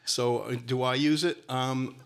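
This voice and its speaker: A man's voice: medium pitch, fairly even intonation, a little slow, clear, resonant tone. A middle-aged man with a Brooklyn accent, speaking as a panelist in a rambling, conversational way. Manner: rambling, conversational, many fillers. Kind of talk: panel discussion.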